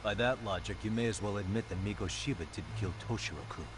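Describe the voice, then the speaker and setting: An actor playing a blunt, determined detective in a crime drama game, speaking firmly. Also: male voice, lowish voice, fast, background noise, natural voice. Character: determined, hard-nosed male detective seeking the truth. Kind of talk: dramatic English game voice acting.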